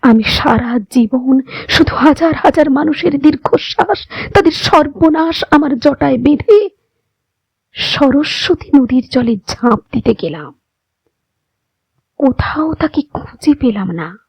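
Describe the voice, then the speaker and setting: A woman giving a dramatic, expressive poetry recitation with measured delivery and deliberate pauses. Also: sits high, gravelly, dry recording, muffled recording.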